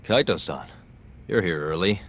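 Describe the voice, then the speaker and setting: A man's voice: deep voice, normal speed, noisy background. A male actor playing a cool, direct investigator in a video game cutscene, with a casual way of talking. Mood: casual, curious. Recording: music behind the voice. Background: background music.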